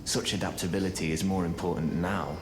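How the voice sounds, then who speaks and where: An actor playing a courteous, wry fugitive sorcerer in a fantasy video game, genteel, chatty and scholarly. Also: male voice, deep voice, fairly even intonation, fairly quick, background noise, close to an everyday voice. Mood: scholarly, intrigued. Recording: roomy echo with loud game music.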